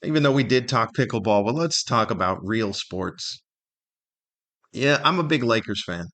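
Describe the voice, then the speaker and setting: A man's voice: on the low side, animated, moderate pace, fairly bright tone. An older man with an American accent, talking casually and in a rambling, self-deprecating way as he hosts a solo podcast. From Southern California, United States. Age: older, 50s or above. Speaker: podcast host.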